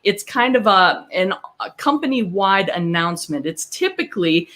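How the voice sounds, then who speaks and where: A woman co-hosting an instructional business podcast, explaining things step by step in a measured way with small asides. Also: mid-range voice, lively intonation, fairly bright tone. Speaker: podcast co-host.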